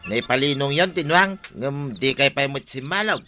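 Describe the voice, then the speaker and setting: An actor playing a folksy, chatty village buddy in a radio comedy-drama, trading familiar, exclamatory banter. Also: male voice, medium pitch, animated, normal speed, bright, forceful tone, soft background music. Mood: friendly.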